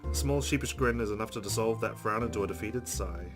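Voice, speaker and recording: A man's voice: fairly low pitch, fast talker, music in the background. A man reading visual novel narration aloud as a let's play streamer, with casual asides.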